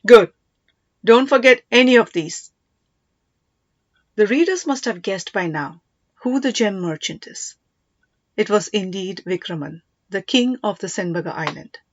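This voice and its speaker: A woman's voice: medium pitch, expressive, measured pace, long pauses, no echo, highs cut off. A woman reading a scripted story aloud as an audiobook narrator.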